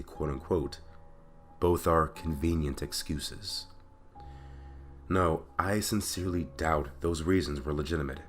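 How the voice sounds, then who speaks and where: Male podcast narrator for creepy tales: low register, dramatic emphasis, guitar music underneath. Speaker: podcast host and story narrator. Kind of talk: dramatic reading of creepypasta stories.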